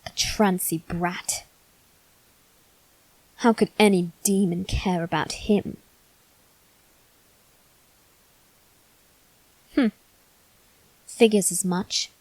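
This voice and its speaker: A woman's voice: medium pitch, dry recording, poor audio. A woman voicing a haughty, bitter young noble boy in an ASMR roleplay, speaking formally and with cold condescension. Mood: scornful, measured. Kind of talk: ASMR character roleplay, scripted monologue.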